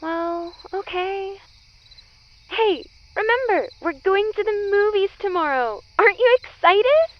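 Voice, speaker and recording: Very high, cheerful teenage girl, acted by a woman. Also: lively intonation, slow pace, highs cut off, heavily acted. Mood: coaxing, reassuring. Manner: upbeat, teasing, reassuring. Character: cheerful, caring teenage girl, a supportive best friend. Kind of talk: audio drama acting.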